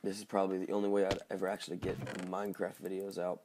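A man with a slightly hoarse, just-woken voice giving casual, halting gameplay commentary as he thinks aloud. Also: deep voice, little pitch movement, noisy background. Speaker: gaming video host.

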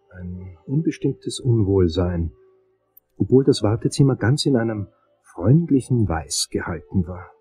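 Man acting a sardonic uneasy detective, low dark voice, first-person radio play narration. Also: lightly acted, rough recording. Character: wry, anxious male undercover investigator narrating his story.

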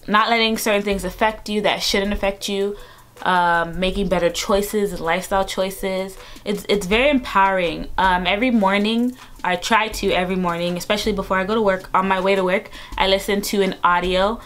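A woman's voice: mid-range voice, a bit bright. A woman in her twenties talking casually to camera from her bedroom, upbeat and chatty with lots of fillers. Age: 20s. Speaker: vlogger. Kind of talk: casual vlog talk to camera. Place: bedroom, indoors.

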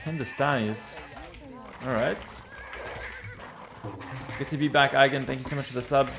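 Young guy on headset, kinda raspy, chill game commentary, game noise behind. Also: medium pitch, moderate pace. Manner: relaxed, thinking aloud, reading chat. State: slightly raspy voice. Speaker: streamer. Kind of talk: casual gameplay commentary. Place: small home room, indoors.

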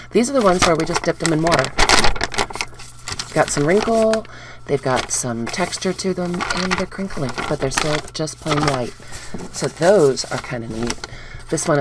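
Woman craft host, upbeat and chatty, gravelly voice, noisy home recording. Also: extremely low pitch. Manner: chatty, upbeat, talks to viewers while handling papers. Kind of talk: casual craft demonstration. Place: indoors at a wooden craft table.

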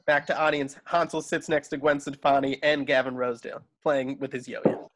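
A man's voice: medium pitch, flat delivery, a little fast, fairly bright tone. A man narrating stage directions for a script table read over a video call.

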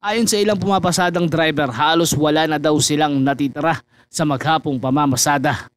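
A male reporter reads a scripted news report over the pictures, his voice pitched a little high. His delivery is steady and formal, with the pace of a practiced broadcaster. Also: fairly quick, no echo.

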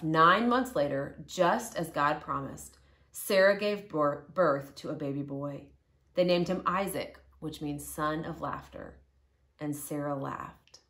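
A middle-aged woman reads a children's story aloud. Her voice sits a little low, and her delivery is expressive. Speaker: storybook reader. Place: living room, indoors. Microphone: camera or phone a short distance away.

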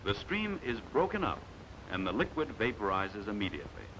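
An American man narrating a scripted 1950s training film in a measured, authoritative, emphatic manner, on a vintage soundtrack. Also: fairly low pitch, very animated, background noise.

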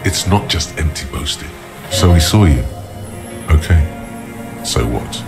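Profoundly deep, brooding inner voice. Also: male voice, expressive, vocal fry, music in the background, exaggerated voice. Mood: urging. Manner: ominous, deliberate, urging. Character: brooding inner narrator voice of a troubled detective's mind. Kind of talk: scripted second-person game narration.

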